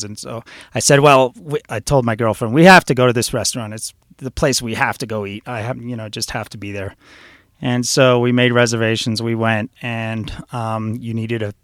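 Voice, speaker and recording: Smooth-voiced man telling stories. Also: mid-range voice, dry recording, clean studio sound. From born in Switzerland, raised in New York City. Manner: relaxed, rambling storyteller with frequent fillers. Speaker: chef guest. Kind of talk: casual interview talk.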